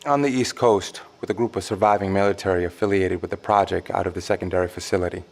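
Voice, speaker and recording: An actor playing a secretive, composed insider in an audio drama, speaking in a measured, formal, wry and condescending way. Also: male voice, deep voice, steady tone. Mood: measured, informative. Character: secretive, composed male insider warning survivors of monsters.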